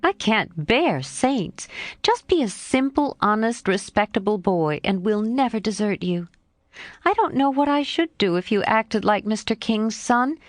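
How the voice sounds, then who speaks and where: A frank, spirited young girl, put on by a woman narrator, scolds her friend in a bright, eager voice that swoops upward. The pitch moves a lot, and the sound is close and dry with no room around it. It suits a classic storybook read aloud. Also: big pitch swings, unhurried, no room sound. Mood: pleading.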